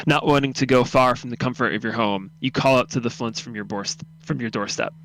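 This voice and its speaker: A man's voice: mid-range voice, a little fast, a bit bright, a little hiss or hum, poor audio. A man reading scripted second-person story narration for a radio drama.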